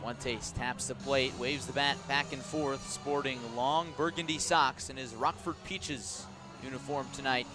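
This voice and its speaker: A man doing live radio play-by-play of a baseball game, with a measured call that rises sharply on big plays. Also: medium pitch, steady tone, music in the background.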